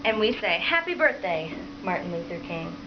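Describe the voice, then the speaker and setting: A woman's voice: fairly low pitch, sing-song, normal speed. Woman reading to children, muffled noisy recording. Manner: encouraging, repeats and expands children's answers, frequent checking questions. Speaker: teacher modeling a read-aloud to children. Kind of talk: reading a picture book aloud and asking children questions. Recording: dull, lo-fi home recording with clear background noise.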